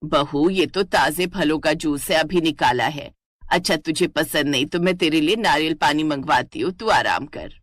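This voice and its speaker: Casting: woman playing older rural Indian mother-in-law, lowered pitch, patient yet firm, dry cartoon story sound. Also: normal speed, dry recording. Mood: caring.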